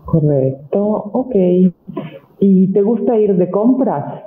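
Man, high voice, slow careful questions, echoey, phone-like sound. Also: animated. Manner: repeats questions slowly on request. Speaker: interviewer. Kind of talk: asking conversation questions for learners.

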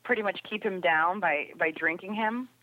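A woman with a slightly low voice gives emphatic opinions in a casual TV review chat. She comes through a muffled, phone-like connection with little room sound. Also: moderate pace, no echo. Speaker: podcast guest commentator on sci-fi TV. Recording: dull, phone-like line.

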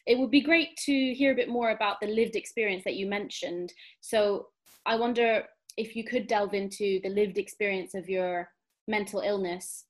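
A woman hosting a podcast, speaking in a conversational interview style. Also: medium pitch. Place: remote online call.

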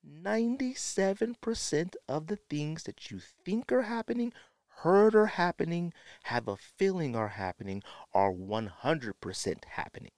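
A man's voice: fairly high pitch, very animated, unhurried, darkish tone, no room sound. A middle-aged man hosting a podcast in a casual, opinionated monologue, speaking emphatically and repeating phrases for effect. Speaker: podcast host.

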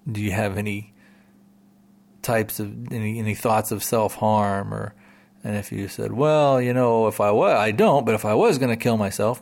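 A man's voice: lowish voice, gravelly, darkish tone. A man hosting a podcast, talking casually to listeners in a rambling way, full of repeats and "you know"s. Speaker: podcast host.

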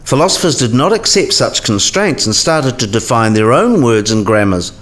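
An actor playing an eccentric, kindly old philosophy professor in a radio play, musing and didactic. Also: male voice, mid-range voice, sing-song, normal speed, a bit bright. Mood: explanatory. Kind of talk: scripted radio play dialogue.

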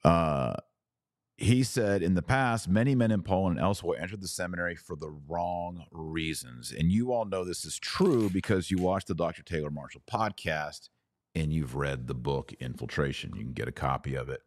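A man's voice: low voice, moderate pace, no echo. A man hosting a podcast, giving news commentary as a monologue with emphatic phrasing and informal asides.

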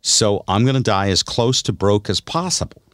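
A man's voice: on the low side, animated, normal speed, a bit bright, no room sound, clean studio sound. An older man hosting a radio show, chatting and telling stories casually in a conversational, wry way. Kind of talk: casual radio banter and storytelling.

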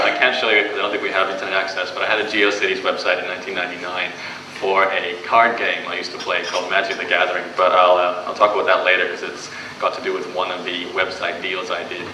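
A young man with a Canadian accent, giving a casual, conversational talk to a live audience at a business event, with many fillers and restarts. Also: low-pitched. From Australia. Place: event room before an audience. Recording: distant, echoey live room recording with background noise.

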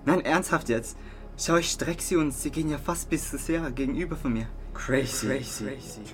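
A man's voice: fairly high pitch, fairly quick, background noise, close to an everyday voice. A man playing a young party guest called Aaron in a contemporary radio play, getting giddily stoned on his first joint. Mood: giddy, stoned.

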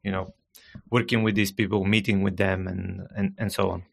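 A man's voice: sits low, little pitch movement, gravelly. A man from Bulgaria with a Bulgarian accent, a guest speaking carefully in a casual podcast conversation over a remote call. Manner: measured, hesitant with frequent uh fillers. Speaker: guest, marketing director.

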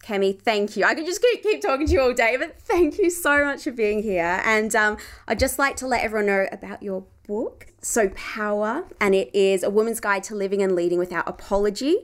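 A woman's voice: medium pitch, a little fast, few pauses. Woman host, effusive and upbeat, live online chat feel, mid-range voice. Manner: effusive, enthusiastic. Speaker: podcast host. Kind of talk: live online conversation, then podcast outro. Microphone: live-stream call.

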